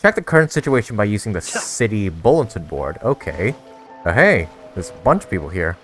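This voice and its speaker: A man's voice: lowish voice, sing-song, a little fast, a bit bright, soft background music. A young man giving casual, slangy, bemused commentary as he plays through a video game. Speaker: gamer, video creator.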